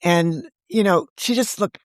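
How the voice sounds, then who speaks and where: A woman's voice: medium pitch, animated, measured pace, no room sound, clean studio sound. A woman novelist talking as an interview guest, telling stories in a chatty way and laughing often. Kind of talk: casual interview chat.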